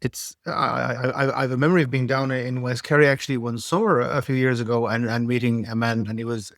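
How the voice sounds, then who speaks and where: A man's voice: mid-range voice, no echo. A man hosts a podcast conversation in an ordinary mid-range voice. He stammers and restarts often, repeating small words before his questions lift at the end. The recording is close and dry. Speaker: podcast host. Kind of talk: interviewer, casual chat.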